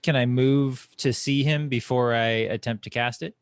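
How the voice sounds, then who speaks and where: A man playing a tabletop game, asking the game master careful, deliberate rules questions. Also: medium pitch, fairly even intonation, clean tone. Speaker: player. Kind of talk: casual tabletop play.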